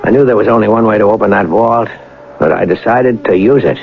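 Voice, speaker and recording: A man's voice: on the low side, brisk, creaky, very noisy. An actor playing an educated, proud young mastermind bank robber who narrates his story in a polished, reflective old-time radio drama style. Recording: dull vintage radio broadcast, music underneath.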